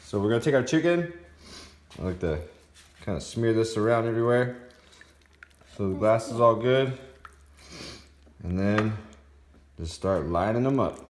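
A man in his thirties with a fairly low voice talks casually into his phone at home. He explains things step by step in an informal, plain-spoken way, as a fitness vlogger would in his own kitchen. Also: expressive, measured pace, long pauses. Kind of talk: casual how-to talk to camera. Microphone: phone at arm's length.

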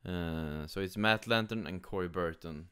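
A man from Sweden with a Swedish accent, giving casual, somewhat halting gaming news commentary. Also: low-pitched, a bit bright, clean tone. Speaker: gaming YouTuber. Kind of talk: casual news commentary, partly reading aloud.

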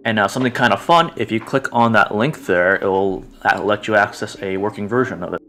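A man's voice: fairly low pitch, a little fast, bright, forceful tone, a little hiss or hum. A man acting as game master, casually narrating a tabletop game in an easygoing way.

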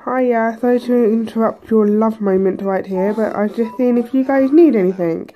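A woman talks over a handheld game she plays at a table indoors, her voice mellow and a little croaky from a cold. She rambles casually with frequent ums and hesitations. Faint game music plays underneath. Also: on the high side, normal speed. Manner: chatty, hesitant with many ums. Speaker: let's play host.

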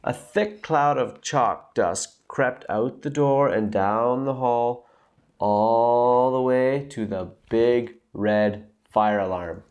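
A man in his thirties reading a children's story aloud with dramatic, deliberate emphasis and stretched words. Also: medium pitch, a little mellow, smooth, lo-fi sound. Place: small room at home, indoors.

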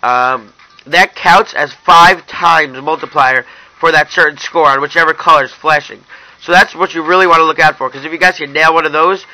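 A man's voice: fairly high pitch, moderate pace, pushed and bright, no echo, low-quality recording. A young man narrating a casual, hands-on app review in an informal way, talking straight to his viewers. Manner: informal, addresses viewers as you guys.

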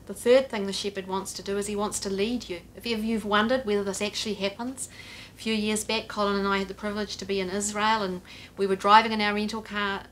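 A middle-aged woman preaches straight to the camera from a sofa in her living room, earnest and direct, her voice sitting at an ordinary middle pitch. A faint low rumble runs under the recording. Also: fairly even intonation, fast, fairly bright tone, poor audio. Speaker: pastor. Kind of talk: home church sermon to camera. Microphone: camera at a few feet, head and shoulders framing.

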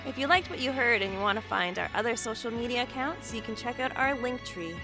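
A woman reading scripted credits and announcements for an audio drama in a friendly, clear way. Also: on the high side, fast, music in the background, rough recording.

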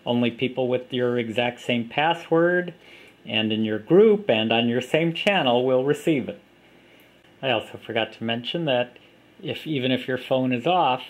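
Man calmly demoing an app. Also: mid-range voice. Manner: plain, unhurried walkthrough with fillers. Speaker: app developer demonstrating his app. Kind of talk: casual product demo. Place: indoors at a table of phones.

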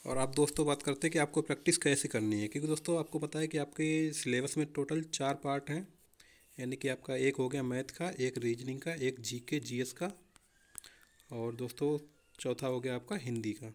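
A man's voice: mid-range voice, normal speed. A young man explaining exam preparation informally as a coaching teacher, often addressing listeners as friends.

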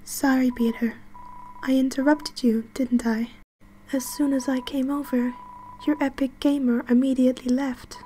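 A woman's voice: high-pitched, level tone, moderate pace, warm tone, slight background noise, close to an everyday voice. A woman voicing a timid, gloomy ghost in a comedic game dub, hesitant and constantly apologetic, sometimes slipping toward a whisper. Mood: apologetic, shy. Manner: hesitant, self-effacing, partly whispered.

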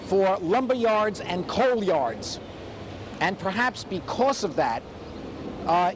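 An older man, leading a walking tour as a historian and telling its history with animated, pun-loving lecturing. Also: sits high. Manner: animated storytelling lecturer, fond of puns. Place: outdoors on a city street by a graffiti wall. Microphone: camcorder a few feet away. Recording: noisy 1980s camcorder street recording. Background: city traffic.

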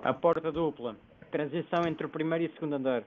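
A man's voice: fairly high pitch, fast. Male co-host, European Portuguese accent, teasing; muffled noisy mic. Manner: teasing, gives directions. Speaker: co-host guiding the player. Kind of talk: casual chat over gameplay.

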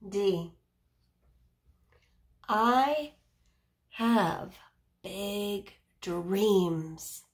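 A woman's voice: medium pitch, extremely slow, long pauses. A woman in her thirties reading a picture book aloud to young children as a teacher, explaining simply and putting questions to her listeners.

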